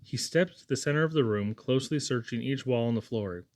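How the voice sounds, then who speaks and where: A man's voice: mid-range voice, brisk, a little mellow. Man narrating a storybook. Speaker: audiobook reader.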